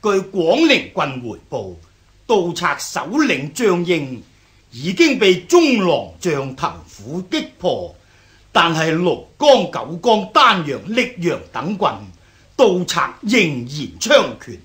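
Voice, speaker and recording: An earnest, loyal court minister in a radio drama. He declaims formally and slowly with lively pitch, in a Hong Kong Cantonese accent. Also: full character voice. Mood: solemn, formal.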